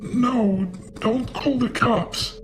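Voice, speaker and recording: A man voicing a cold, menacing woman in her sixties in a horror story, speaking with slow, deliberate insistence. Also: very high voice, background noise, pitched above the performer's own voice, exaggerated voice. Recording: music behind the voice.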